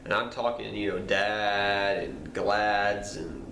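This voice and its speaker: A man's voice: on the low side, takes it slowly, vocal fry, a bit bright. A man with a cold, sounding nasal, talking casually and rambling to his channel viewers. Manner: rambling, repeats himself, apologetic. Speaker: card game channel host. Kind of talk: casual talk to camera. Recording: echoey room, faint background noise.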